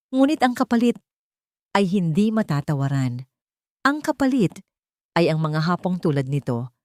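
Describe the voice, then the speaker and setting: A woman narrating a scripted romantic drama with sentimental, deliberate phrasing. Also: on the low side, a little mellow, no room sound, clean studio sound.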